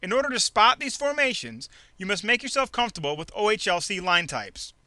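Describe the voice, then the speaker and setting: A man reading a scripted instructional narration in a clearly enunciated, measured, teacherly way. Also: high-pitched, big pitch swings, pushed and bright, no room sound. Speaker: narrator of an instructional trading video. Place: close dry voice-over recording.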